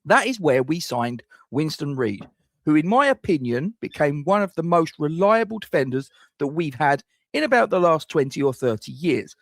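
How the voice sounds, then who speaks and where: A man's voice: on the high side, very animated, normal speed, no echo. A middle-aged man hosts a football fan channel, giving scripted transfer rumour commentary with wry, self-mocking jokes.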